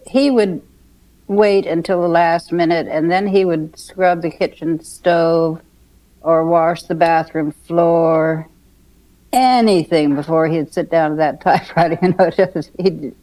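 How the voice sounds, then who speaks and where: An older woman telling casual reminiscences in an unhurried, chuckling manner. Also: fairly low pitch, a little mellow, rough recording. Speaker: radio writer's wife and co-plotter, interviewee.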